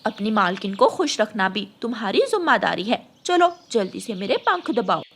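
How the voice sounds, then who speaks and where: A woman's voice: medium pitch, sing-song, normal speed, fairly bright tone, slightly rough, exaggerated voice. A woman voicing a bossy, greedy female crow employer in a cartoon, haughty and threatening. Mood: haughty, demanding. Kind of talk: Hindi moral cartoon story dialogue.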